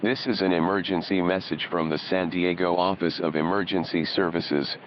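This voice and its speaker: Very deep male emergency announcer. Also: steady tone. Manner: formal, measured official delivery. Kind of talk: scripted emergency message. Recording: dull, noisy emergency radio broadcast.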